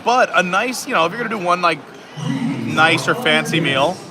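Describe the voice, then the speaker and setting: A man's voice: on the high side, lively intonation, moderate pace, a crowd in the background, poor audio. A man hosting a live stream, chatting and joking over a meal in a restaurant. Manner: chatty and joking, trading quips. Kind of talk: casual chat over a meal. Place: indoor theme-park restaurant. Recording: background music. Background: music.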